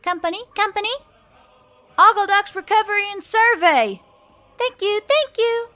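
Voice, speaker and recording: Lady doing a big happy sparrow harpy, super high squeaky bright, chirping out calls for a story. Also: moderate pace. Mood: cheerful calling. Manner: chirpy, repetitive calling out. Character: big cheerful female sparrow harpy surveyor.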